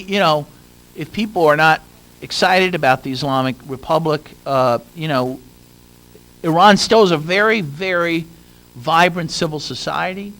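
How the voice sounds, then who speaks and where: A man's voice: fairly high pitch, a bit bright, poor audio. Earnest man giving a conference talk. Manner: earnest, with frequent fillers and restarts. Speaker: panel speaker. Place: conference room.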